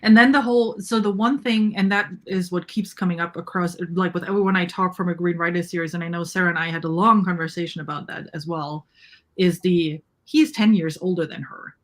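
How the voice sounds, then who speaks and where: A woman's voice: lowish voice, a little fast. A woman talking casually and conversationally as a panelist in an online book discussion. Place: indoors on a livestream video call.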